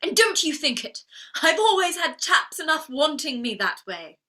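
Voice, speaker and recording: Proud young flower girl played by an actress, stage drama, wounded yet defiant, high voice with very lively swings. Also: normal speed, exaggerated voice. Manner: defiant, plaintive, emotionally outspoken. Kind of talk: theatrical staged play reading.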